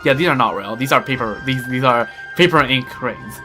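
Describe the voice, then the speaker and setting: A man's voice: mid-range voice, brisk, bright, forceful tone, soft background music. A young man chatting casually while playing a game on a livestream, rambling with lots of restarts and self-deprecating asides. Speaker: streamer. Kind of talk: casual gameplay livestream chat.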